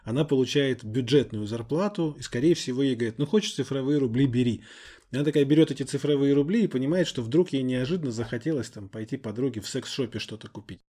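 A man's voice: medium pitch, fast talker, dark tone, breathy edge. A man chatting casually as a podcast co-host, talking in little imagined scenes like a storyteller. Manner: storytelling, acts out imagined remarks. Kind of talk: casual conversational chat. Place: podcast recording studio. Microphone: close studio microphone.

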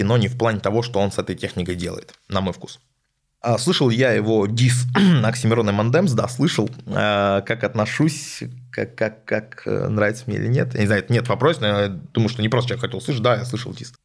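A man answering listener questions on a podcast as a music critic, casual and rambling, with frequent hesitation sounds. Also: on the low side.

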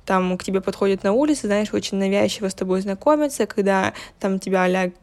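A woman's voice: mid-range voice, fast, darkish tone. A young woman in a casual discussion, speaking earnestly and often appealing to her listener for understanding. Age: young adult. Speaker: political science student and blogger in a discussion. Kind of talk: casual chat.

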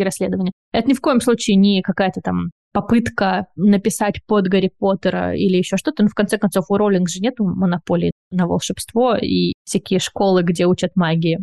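A woman's voice: mid-range voice, creaky, darkish tone, no room sound, clean studio sound. A woman guest on a podcast, talking in a thoughtful, enthusiastic way, with fillers and light laughs. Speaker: podcast guest, bookshop owner. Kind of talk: casual interview chat.

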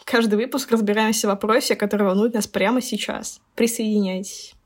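A woman's voice: medium pitch, fairly even intonation, fairly quick, slightly rough. A woman of twenty co-hosting a podcast in casual, candid chat, with slangy wording and a teasing streak. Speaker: podcast co-host. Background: lo-fi music.

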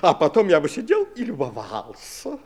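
A man's voice: on the high side, huge pitch swings, normal speed, darkish tone, full character voice. An actor playing a fussy, self-important, grumbling middle-aged husband and father in a 1960s radio play. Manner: self-important, fretful, theatrical.

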